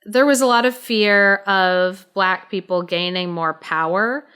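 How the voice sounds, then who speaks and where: Slow-paced, very clear-voiced woman telling stories. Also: medium pitch, fairly bright tone. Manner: informal, halting, explanatory delivery. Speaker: podcast co-host and storyteller. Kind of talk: casual conversational storytelling.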